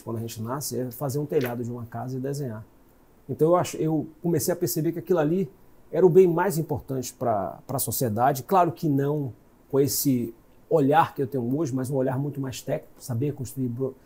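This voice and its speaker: A Bahian man in his forties, a guest telling his life story in a reflective, measured way. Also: medium pitch, dark tone, breathy edge. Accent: Bahian Portuguese. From Salvador, Brazil. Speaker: guest executive. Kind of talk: interview storytelling.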